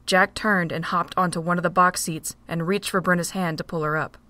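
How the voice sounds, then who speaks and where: A woman narrating a fan-made audio drama in a steady, even reading voice. Her voice sits a little low and is recorded dry and close. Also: fairly quick, no echo. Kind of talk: storybook narration.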